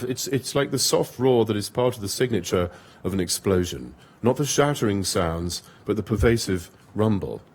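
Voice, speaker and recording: A man giving an academic lecture, reading long lists in a steady rhythm with occasional small stumbles. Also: fairly low pitch, husky. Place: lecture hall.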